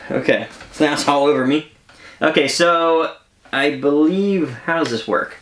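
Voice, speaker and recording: A man's voice: a little high, measured pace, fairly bright tone. A man hosting an unboxing video in casual, rambling chat, often interrupting himself. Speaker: host of a collectibles unboxing channel. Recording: echoey room, some background noise.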